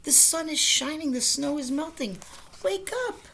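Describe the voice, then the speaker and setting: A woman voicing an eager, excitable frog friend in a children's storybook, coaxing and calling out. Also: high-pitched, normal speed, a little hiss or hum, voice pushed up. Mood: excited, calling. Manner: exclaiming, coaxing, shouting calls. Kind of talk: children's storybook character reading.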